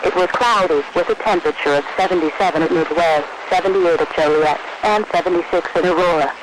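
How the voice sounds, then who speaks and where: Noisy radio reception, high male voice, American accent, formal scripted weather announcer, impersonal. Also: fast. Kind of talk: scripted weather summary reading.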